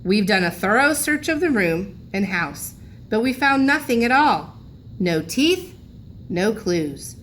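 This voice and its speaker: A woman's voice: mid-range voice, sing-song, takes it slowly, fairly bright tone. A woman voicing a grave, earnest police officer in a storybook, speaking formally. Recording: noisy room recording.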